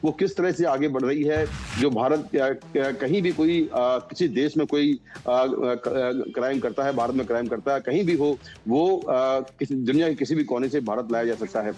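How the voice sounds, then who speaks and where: A male news correspondent explains a story off the cuff, stopping and restarting often. His voice is mellow and dark, with faint music behind it. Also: medium pitch. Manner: halting, repetitive, searching for words. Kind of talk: unscripted live news analysis.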